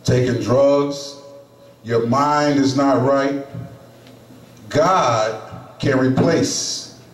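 A middle-aged man preaching a sermon in a small chapel into a handheld microphone, emphatic and pausing often. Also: mid-range voice. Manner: slow, emphatic preaching with pauses. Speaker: lay preacher. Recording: echoey room, camera at a distance.

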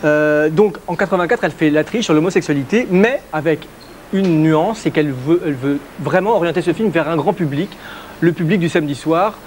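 A man's voice: fairly high pitch, brisk, a little mellow, slight background noise. A man in his thirties, a columnist on a TV talk show, explaining a film in a chatty way. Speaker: DVD columnist. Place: pink TV studio set. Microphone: lapel mic.

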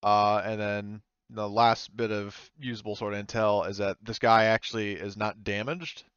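A man's voice: on the low side, little pitch movement, moderate pace, a bit bright, clear, resonant tone, no room sound, dull audio. A man working as game master, narrating and explaining in a hesitant, rambling way during a tabletop roleplaying game.

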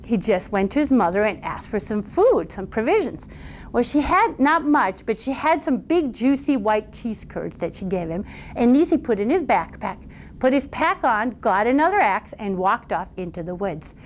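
A woman storyteller telling a fairy tale aloud in an informal, conversational and animated way. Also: fairly high pitch, normal speed, a little hiss or hum.

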